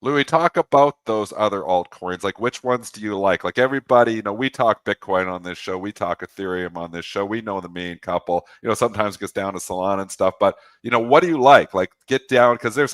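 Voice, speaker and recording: A man co-hosting a casual market show, talking quick and eager. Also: on the low side, no room sound. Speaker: co-host. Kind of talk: casual live show chat.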